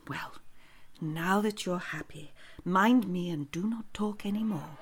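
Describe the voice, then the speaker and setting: An actress playing a caring nursing nun who speaks in soothing whispers. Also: female voice, lowish voice, a little slow, slight background noise. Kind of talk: radio drama acting.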